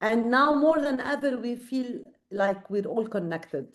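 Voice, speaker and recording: A woman's voice: fairly high pitch, animated, a little slow. A tired woman from Lebanon with a Lebanese accent, a guest on a late-night video call, speaking earnestly and reflectively with many fillers. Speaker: guest, creative director.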